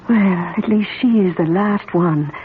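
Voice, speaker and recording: A cold, coquettish schemer in a radio mystery. She speaks in an arch, silky, callous way. The recording is tinny and narrow, with faint hiss behind. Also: lowish voice. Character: cold, coquettish, scheming female murder accomplice. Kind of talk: radio mystery drama acting.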